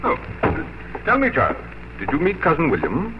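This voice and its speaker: An actor playing a fussy, pushy older gentleman father in a 1950s radio drama, pompous and emphatic. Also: a little high, wildly swooping pitch, normal speed. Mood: eager. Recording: muffled, noisy 1950s radio recording.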